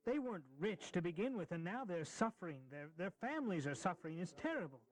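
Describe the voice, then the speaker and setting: An earnest young colonel with a high male voice, from a historical audio drama. He speaks with impassioned emphasis, pressing each point like a practised advocate. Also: sing-song, fast talker, low-quality recording. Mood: pleading, indignant. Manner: emphatic, pleading, rhetorical.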